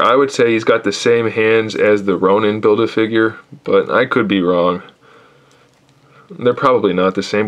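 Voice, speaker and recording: A man reviewing an action figure in a casual, critical way, sounding tired and a little hoarse from losing his voice. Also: on the low side. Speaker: toy reviewer. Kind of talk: casual product review, off-camera voice.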